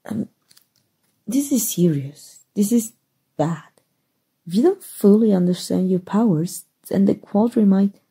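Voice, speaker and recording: A woman acting in an ASMR roleplay as a flustered, soft-hearted young female hero, stammering hesitantly in a soft, husky voice with a Mexican accent. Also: mid-range voice, lively intonation, a little slow, pauses often, full character voice. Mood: gentle, concerned. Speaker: voice actor.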